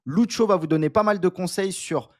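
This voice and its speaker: A man hosting an online interview, upbeat and energetic as he presents and asks questions. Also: sits high, fairly quick, no echo, clean studio sound. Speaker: host.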